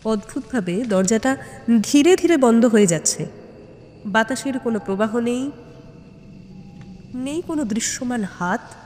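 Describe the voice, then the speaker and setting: A woman narrates a suspense story dramatically, with deliberate phrasing and weighty pauses. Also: a little high, animated, a little mellow, slight background noise. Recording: long hall-like reverb on voice.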